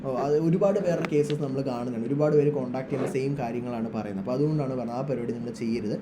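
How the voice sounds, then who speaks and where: A man's voice: medium pitch, rapid-fire, background noise. A man giving an informal, instructional screen-share trading tutorial, mixing English terms into his speech. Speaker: trading tutorial presenter, trader.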